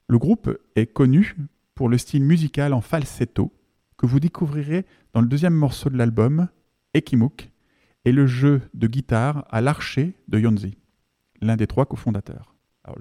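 Mellow-voiced male radio host. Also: mid-range voice. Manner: measured, slows on key phrases. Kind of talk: scripted radio music show presentation. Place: radio studio.

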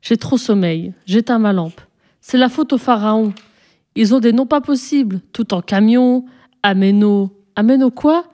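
A cheeky young schoolboy acted by a woman reader from a storybook, impulsive and curious. The talk is blunt and childish, full of exclamation, in a soft-edged mellow tone. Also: a little high, a little slow, lightly acted. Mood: grumpy, fed up. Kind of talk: storybook reading, character dialogue.

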